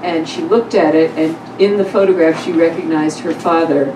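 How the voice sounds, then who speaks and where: A woman's voice: low-pitched, steady tone, moderate pace. A woman speaking informally to a live gathering as a publisher and event host. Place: echoey room at a live event. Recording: roomy, distant mic with loud noise.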